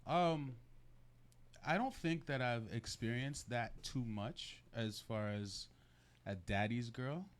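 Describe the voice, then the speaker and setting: A man's voice: medium pitch, slow. A man talking as a guest on a casual talk show, informal and slangy, often checking in with a "right?". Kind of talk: casual conversational interview answers.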